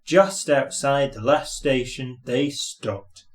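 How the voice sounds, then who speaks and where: A man's voice: medium pitch, slow pace. A man narrating a scripted children's story as its reader.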